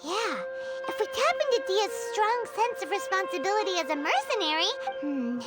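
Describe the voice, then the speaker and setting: A woman voicing a tiny, chirpy, excitable fairy companion in an animated video game style. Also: extremely high pitch, normal speed. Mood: scheming. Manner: speaks of herself in third person. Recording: game music behind the voice.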